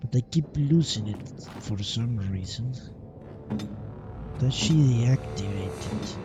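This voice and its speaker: A man's voice: medium pitch, expressive, slow. A man giving casual live gameplay commentary, thinking aloud with a gloomy, pessimistic outlook and some swearing. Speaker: game streamer. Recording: loud game music behind voice. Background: loud video game music and sound.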